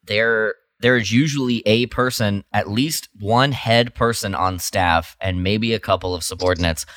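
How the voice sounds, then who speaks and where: A man with a fairly low voice chats casually as a podcast co-host. He sounds dry and close, without room reflections. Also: moderate pace, fairly bright tone, no echo. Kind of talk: casual chat.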